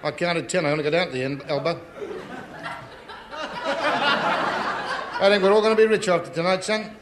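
Actor as a chummy fight promoter, noisy recording. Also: male voice, on the high side, rapid-fire, close to an everyday voice. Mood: chummy, confident. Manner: chummy patter. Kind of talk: 1950s radio comedy acting. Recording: noisy old radio comedy recording.

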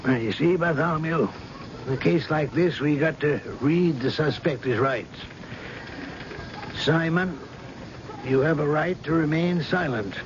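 A man's voice: on the high side, unhurried, long pauses, close to an everyday voice. Relentless police chief played by a man, muffled recording. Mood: stern, procedural. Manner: insistent, interrogating, authoritative. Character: relentless, suspicious male police chief grilling a suspect. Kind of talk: radio drama dialogue. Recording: dull, noisy old radio broadcast.

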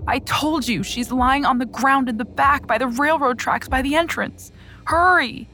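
Woman acting high, distressed man begging for help. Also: moderate pace, bright, forceful tone, a little hiss or hum. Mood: agitated, urgent. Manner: distressed, urgent, pleading. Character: agitated, weeping man phoning police in emergency. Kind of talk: dramatized phone call in true crime narration.

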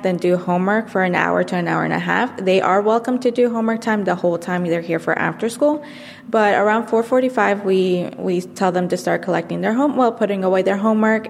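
A woman in her thirties, an after-school program coordinator, answering interview questions in a measured way with frequent fillers. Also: medium pitch, vocal fry. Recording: long hall reverb, faint noise.

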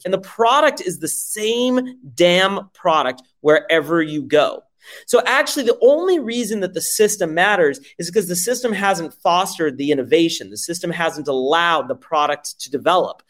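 A man from France, a founder answering interview questions in an emphatic, profane pitch full of rhetorical questions. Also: fairly bright tone. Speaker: startup founder guest.

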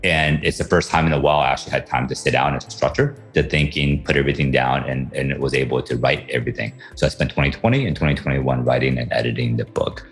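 Super deep voiced guy from taiwan and the us, chatty interview answers with lots of uhs, faint music. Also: fairly even intonation, fast, few pauses. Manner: conversational, frequent uh fillers and restarts. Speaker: guest author.

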